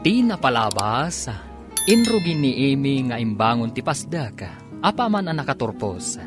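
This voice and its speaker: A man's voice: mid-range voice, very animated, normal speed. A man narrating a radio drama in a dramatic, scripted style. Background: music with guitar.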